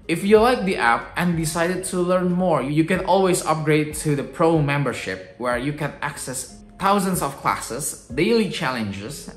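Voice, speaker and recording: Young man, echoey room. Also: mid-range voice. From Indonesia. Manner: chatty, explains step by step, switches between English and Indonesian. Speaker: YouTuber and English tutor. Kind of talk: casual teaching vlog.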